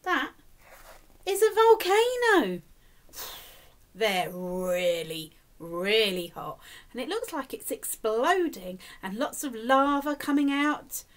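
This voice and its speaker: A middle-aged woman reading a picture book aloud to young children, expressively and deliberately, prompting them with questions. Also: a little high. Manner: animated, slow and deliberate, prompting young listeners. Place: indoors, small room with decorated wall.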